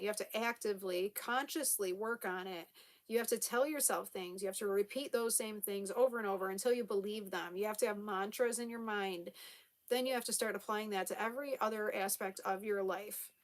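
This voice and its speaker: A young woman with a slight lisp, chatting casually to camera at home as she reads viewer comments, laughing often. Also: medium pitch. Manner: chatty, laughs often, many fillers and restarts. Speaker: vlogger reading viewer comments.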